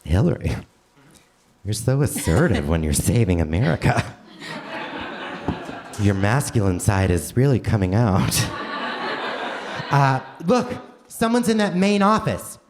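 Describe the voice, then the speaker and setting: A man's voice: lowish voice, big pitch swings, a little slow, pauses often. A man playing an eager, easily flustered husband on a mission in a live comedy radio play, with exaggerated delivery. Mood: admiring. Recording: live theater stage, faint noise.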